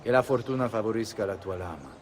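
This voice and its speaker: An actor playing a stately, gracious ruler with a formal, measured manner in a game cutscene. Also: male voice, lowish voice, lively intonation, a little hiss or hum, natural voice. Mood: gracious, solemn. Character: stately, gracious male Florentine ruler and patron. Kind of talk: dramatic game cutscene acting.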